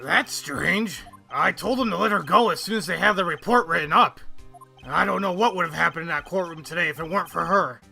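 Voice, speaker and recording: A man playing a gruff, soft-hearted, folksy police detective in a video game fan dub. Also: high voice, fairly quick, faint music behind, exaggerated voice. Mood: sincere, moved. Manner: blunt, folksy, calls people pal. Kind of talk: visual novel game fan dub acting.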